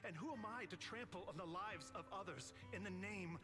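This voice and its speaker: A man voicing a weary, remorseful master and guardian in a game, speaking in a solemn, measured way. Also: high-pitched, sharp tone. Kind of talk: dramatic game voice acting. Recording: music behind the voice.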